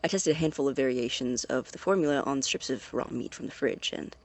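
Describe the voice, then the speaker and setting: An actor playing a secretive, methodical male science student in an audio drama, dictating a tape diary with hesitant, precise wording in a gravelly, husky voice. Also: medium pitch, fast talker, lightly acted. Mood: clinical, uneasy.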